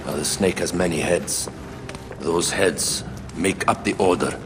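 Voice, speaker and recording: An actor voicing a solemn conspirator who advises a queen in a video game, with grave, measured, dramatic delivery. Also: low voice, long reverb tail, background noise. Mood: grave, patient. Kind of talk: scripted video game cutscene acting.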